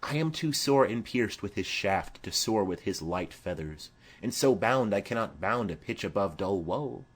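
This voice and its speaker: A man's voice: on the low side, lively intonation, moderate pace, breathy edge. A man voicing a heavy-hearted, lovesick young man in a poetic, mournful Shakespeare audiobook reading. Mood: gloomy.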